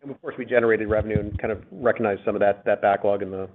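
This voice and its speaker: The hurried male executive you would hear fielding investor questions over a thin conference line, circling back over his words. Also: fairly low pitch, fairly even intonation. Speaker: company finance executive answering analysts on an earnings call. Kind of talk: unscripted business answers on a conference call. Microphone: conference call line. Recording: phone-like narrow-band conference call audio.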